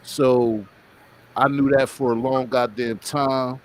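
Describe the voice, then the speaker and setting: A man's voice: medium pitch, fairly even intonation, slow pace. An American man hosting a podcast, chatting casually in an emphatic, informal way with some swearing. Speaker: podcast host. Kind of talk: casual interview chat.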